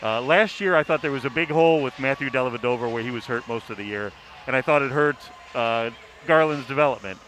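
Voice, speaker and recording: A man giving casual sports analysis as a panelist, halting but emphatic, rephrasing his points as he goes. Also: medium pitch, a bit bright, low-quality recording. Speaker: sports writer on a broadcast panel. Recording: faint background noise.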